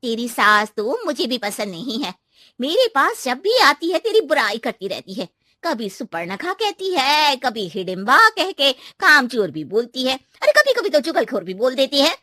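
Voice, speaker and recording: A woman's voice: high voice, big pitch swings, moderate pace, bright, forceful tone, no room sound, clean studio sound, heavily acted. A woman voicing a sly, gossiping female neighbour in a cartoon, with an exaggerated, insinuating way of talking. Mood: goading. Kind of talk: animated cartoon dialogue in Hindi.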